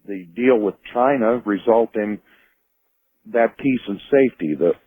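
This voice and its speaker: A husky man speaks over a narrow phone line as a radio caller. He explains earnestly, choosing formal words with deliberation. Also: lowish voice, unhurried, dry recording. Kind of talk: earnest explaining on a call-in show.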